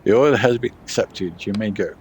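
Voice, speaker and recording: A man's voice: fairly low pitch, fairly quick, darkish tone, slight background noise, natural voice, poor audio. A man voicing a curt, stern boss who hands out orders in a clipped, dismissive way, read from a game story.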